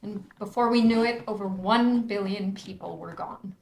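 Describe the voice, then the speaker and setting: A woman's voice: mid-range voice, normal speed, gravelly, echoey room, a little hiss or hum, lightly acted, poor audio. A woman reading a screenplay part at a table read, playing a wry actress recalling the disaster.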